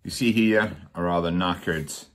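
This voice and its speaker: A man with an extremely low voice talks through a DIY job at home. His delivery is informal and matter-of-fact, with a halting, hesitant way of putting sentences together. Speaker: DIY workshop presenter. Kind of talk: informal hands-on electronics tutorial. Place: indoors at a dining table at home.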